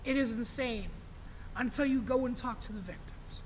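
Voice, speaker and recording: A man's voice: very high voice, moderate pace, slight background noise. A man talking on a podcast in a rambling, emphatic way, swearing freely. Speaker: podcast guest. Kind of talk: casual podcast talk.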